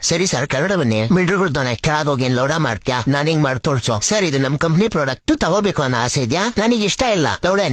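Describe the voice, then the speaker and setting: A man's voice: on the high side, noisy background, natural voice. A man voicing brash, exasperated young men in cartoon comedy skits. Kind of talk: animated comedy skit dialogue.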